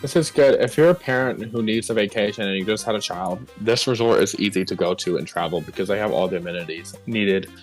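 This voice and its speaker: A man casually giving a joking tour of a game build, relaxed, with fillers. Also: fairly low pitch, quick pace, few pauses, soft background music, rough recording. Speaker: co-host touring a game build.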